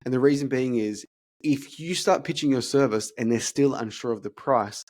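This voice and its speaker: A man's voice: mid-range voice, darkish tone. Host type: man, sales coach, stammers through repeats, ordinary mid-range voice. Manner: talks through deliberate stutters and repeated words. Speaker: fitness business consultant. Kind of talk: casual podcast chat, role-playing sales calls.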